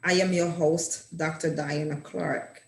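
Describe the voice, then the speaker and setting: Slow, formal woman host in an echoey room. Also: deep voice, fairly even intonation, vocal fry. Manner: formal, scripted introductions. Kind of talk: talk show hosting.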